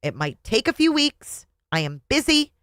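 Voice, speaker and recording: Woman acting a high-pitched, pleading, exasperated wife. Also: sing-song, moderate pace, a bit bright, dry recording, studio quality. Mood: strained, insistent. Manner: pleading, emphatic, high-strung.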